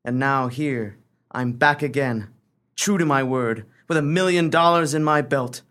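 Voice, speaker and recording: An actor playing a rich, brooding city financier returned home, in a comic radio melodrama monologue. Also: male voice, medium pitch, measured pace, fairly bright tone, natural voice. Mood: brooding, self-important.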